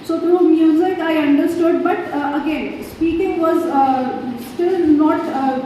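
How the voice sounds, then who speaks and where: A woman from India with an Indian English accent, whose first language is Hindi, giving an in-house teacher training talk with many halting fillers and restarts. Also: very high voice, dark tone, slight background noise. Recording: echoey hall from far off, dull.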